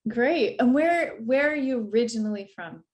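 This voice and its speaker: A woman's voice: a little high, expressive, moderate pace, a little mellow. A woman hosting an interview, effusive and prone to repeating herself. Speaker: interview host, art school founder. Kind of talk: casual interview.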